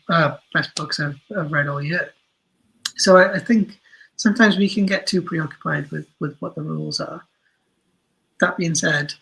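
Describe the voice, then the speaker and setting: A man's voice: a little high, vocal fry, sharp tone, slightly rough, rough recording. A man giving thoughtful, opinionated answers as an interview guest, repeating points for emphasis. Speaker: interview guest, audio drama writer.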